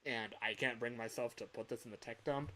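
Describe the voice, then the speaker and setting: A young man livestreaming in a casual chat, rambling and halting as he corrects himself mid-sentence. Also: mid-range voice, fairly even intonation, pushed and bright, a little hiss or hum, rough recording. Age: young adult. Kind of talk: casual chat with stream viewers.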